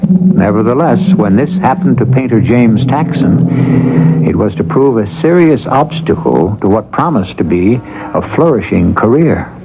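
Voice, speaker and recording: A man as the urbane host narrator of a 1970s radio mystery drama, speaking in a measured, literate manner. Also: lowish voice, expressive, heavy background noise. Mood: portentous. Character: urbane, measured male storyteller introducing a mystery tale. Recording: muffled lo-fi radio broadcast, music behind.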